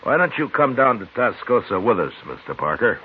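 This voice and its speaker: An actor playing a dry, laconic, world-weary American frontier marshal in old-time radio western style. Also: male voice, medium pitch, very animated, fairly quick, close to an everyday voice. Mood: wry. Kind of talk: 1950s radio western acting and narration. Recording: muffled, hissy old radio broadcast recording.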